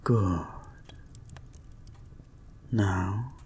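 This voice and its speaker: A man playing a creepy, obsessive hypnotist therapist in an audio drama, murmuring calm, controlling guided meditation in a soft breath that often drops to a whisper. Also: lowish voice, extremely slow, a little hiss or hum. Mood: coaxing, calm.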